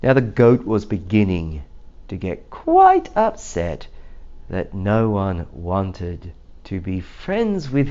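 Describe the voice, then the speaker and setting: A man's voice: medium pitch, a little mellow, dull audio. A man reading a children's storybook aloud in a theatrical, playful manner. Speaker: storybook reader.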